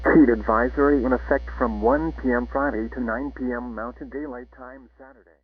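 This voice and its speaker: A man's voice: mid-range voice, steady tone, brisk. A synthetic man's voice formally reading scripted weather alerts as an emergency announcer. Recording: tinny narrow radio sound, music behind.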